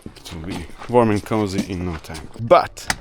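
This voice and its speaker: Croatian man vlogging outdoors, lower voice. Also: moderate pace, noisy background. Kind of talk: casual vlog narration while doing chores. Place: outdoors at a rural summer house. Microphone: action camera.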